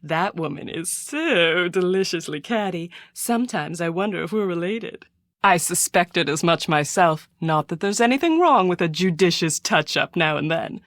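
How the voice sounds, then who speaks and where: A woman's voice: lowish voice, expressive, normal speed, a bit bright, no echo, studio quality. Haughty, theatrical rich lady with swooping delivery. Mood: imperious, languid. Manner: theatrical, condescending, imperious. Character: vain, haughty rich woman doting on her pet, secretly villainous. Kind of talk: video game character dialogue.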